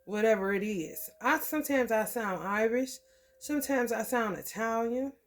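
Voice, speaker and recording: A woman from the American Midwest giving a loose, profane tarot reading. Her throat is scratchy from allergies, and she keeps clearing it and laughing between thoughts. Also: on the high side, moderate pace. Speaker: tarot reader. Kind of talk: casual spiritual reading to camera.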